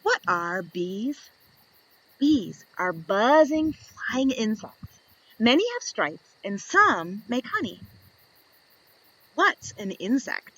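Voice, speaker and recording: A woman reading a picture book aloud to young children, expressive and playful, asking the listener questions as she goes. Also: on the high side, slow pace, pauses often, breathy edge, poor audio. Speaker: children's story reader.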